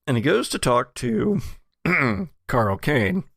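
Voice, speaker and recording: A man's voice: medium pitch, very animated, slow pace, vocal fry, a bit bright, no echo, studio quality. A man working as game master, narrating an improvised tabletop scene conversationally in the present tense.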